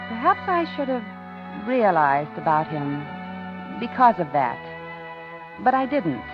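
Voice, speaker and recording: An American actress playing a polite, uneasy widowed office worker in a 1950s radio suspense drama, confiding and genteel. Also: female voice, mid-range voice, sing-song, slow pace. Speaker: radio drama lead and narrator. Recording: muffled 1950s radio recording, organ music.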